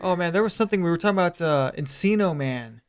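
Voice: mid-range voice, lively intonation, fast talker, clear, resonant tone. Joking podcast co-host in casual banter. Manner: joking, riffing.

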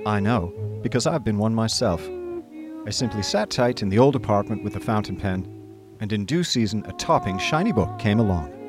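An actor playing an idle, chummy young upper-class gentleman, narrating a radio drama in breezy, wordy old-fashioned slang. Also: fairly low pitch, lively intonation, moderate pace, lightly acted. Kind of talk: scripted first-person radio drama narration. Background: music.

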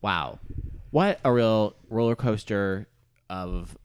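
A man's voice: fairly low pitch, takes it slowly, smooth. A man co-hosting a podcast, bantering casually and comedically in a playfully emphatic way. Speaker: podcast co-host.

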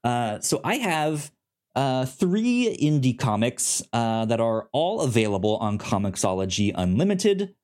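A man with a mid-pitched voice chats as a podcast co-host. He rambles informally and haltingly, often stuttering over words and repeating syllables. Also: very animated, darkish tone. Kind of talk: casual review show chat.

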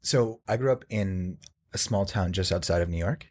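A man from New Jersey recounting memories in a casual group conversation, halting with fillers. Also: low-pitched, a little mellow.

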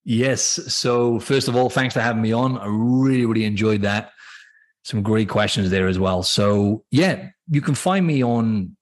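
Guy from wales w/ a welsh-aussie mix accent, kinda low voice, rambly chatty. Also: measured pace. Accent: mixed Welsh and Australian. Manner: chatty, rambling with ums and restarts. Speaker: guest, fitness coach. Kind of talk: casual interview chat.

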